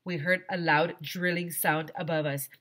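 A woman's voice: fairly low pitch, fairly even intonation, normal speed, fairly bright tone. A middle-aged woman, a teacher reading a children's storybook aloud expressively into a headset mic. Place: small decorated room indoors.

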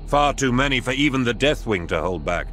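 An actor playing a grim space marine who recounts a desperate war in solemn, measured video game narration. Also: male voice, medium pitch, animated, fairly bright tone, slight background noise, natural voice. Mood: grim, foreboding. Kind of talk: scripted dramatic game cutscene narration.

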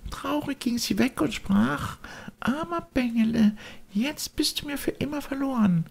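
A man voicing a tiny, gentle but reproachful talking cricket in a storybook, with a thin, delicate voice. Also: very high-pitched, unhurried, creaky, voice pushed up, full character voice. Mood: gently chiding.

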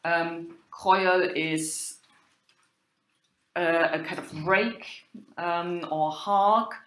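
Slow, halting German-accented woman lecturer. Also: on the low side, steady tone, a bit bright. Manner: halting, hesitant delivery with many pauses. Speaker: university lecturer in medieval German literature. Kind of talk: informal academic lecture with quoted text. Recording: roomy, echoing sound.

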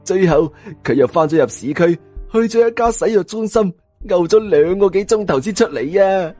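A man with a Hong Kong Cantonese accent voicing a brash, excitable, mouthy young sidekick in an audio story. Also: high voice, moderate pace, no room sound, faint music behind, pitched above the performer's own voice. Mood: reporting eagerly.

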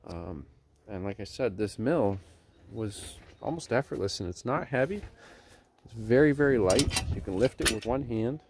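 A man with a fairly low voice talks through a laid-back product review outside his house. He chuckles and rambles a bit as he films on a handheld phone. Steady outdoor noise sits under the voice. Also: normal speed. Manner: relaxed and chatty, with chuckles and fillers. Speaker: homesteading vlogger.

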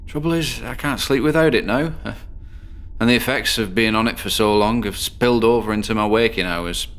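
A man's voice: lowish voice, moderate pace, fairly bright tone, close to an everyday voice. An actor playing a lonely, downtrodden middle-aged man in a bedsit, in a radio drama, who talks in a rambling, digressive, self-deprecating way. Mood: weary. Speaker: patient in a horror audio drama. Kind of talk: first-person radio drama monologue.

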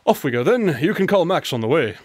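An actor playing a witty, aloof detective in a radio drama, speaking formally, precisely and with dry teasing. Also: male voice, on the high side, sing-song, fast talker, loud music behind the voice. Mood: brisk, matter-of-fact.

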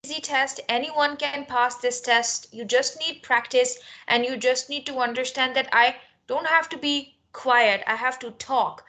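A young woman with a high, bright voice leads a spoken English lesson. She sounds direct and instructive, putting short, clear questions as an examiner would and coaching her students. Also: unhurried, few pauses. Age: young adult. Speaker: English teacher and mock test interlocutor. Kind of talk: spoken test interview and coaching.

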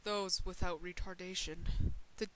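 A woman voicing a gruff, aloof young male swordsman, deadpan, in a fan parody dub of a game. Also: on the low side, measured pace, slight background noise, close to an everyday voice, low-quality recording.

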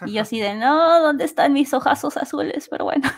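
A woman's voice: high-pitched, brisk, vocal fry. A woman with a Latin American Spanish accent chatting playfully as an interview guest. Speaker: guest, amateur voice actress. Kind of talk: casual interview chat.

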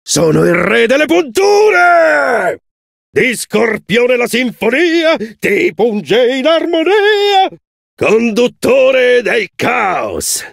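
Gravelly, theatrical Italian-accented scorpion villain. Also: male voice, extremely high pitch, huge pitch swings, fairly bright tone, no room sound, shouting, studio quality, heavily acted. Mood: manic, triumphant. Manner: theatrical, drawn-out, punning delivery. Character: manic, theatrical male scorpion villain, gloating showman conductor. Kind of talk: video game character voice lines.

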